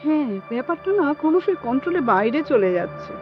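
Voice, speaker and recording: Chirpy young daughter-in-law, super high lively voice, brisk n placating, radio play. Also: female voice. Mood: placating, hurried. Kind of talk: Bengali radio drama acting. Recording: dull lo-fi audio with music behind.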